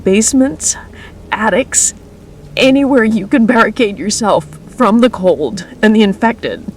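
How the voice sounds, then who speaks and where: A woman playing a weary emergency radio announcer in an apocalypse radio drama, speaking in a grave, deliberate manner. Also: a little high, expressive, slight background noise. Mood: grave, urgent. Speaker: radio news broadcaster. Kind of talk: scripted radio drama broadcast.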